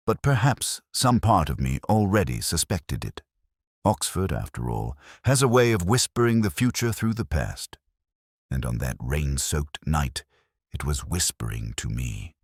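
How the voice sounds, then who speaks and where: A man plays a brooding, literary male detective telling his own mystery as radio drama. The voice sits extremely low yet moves with plenty of melodic variation. Delivery is measured and wry, recorded very dry and close. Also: dry recording, full character voice. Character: melancholy, literary male English police detective narrator. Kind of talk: scripted first-person mystery narration in radio drama manner.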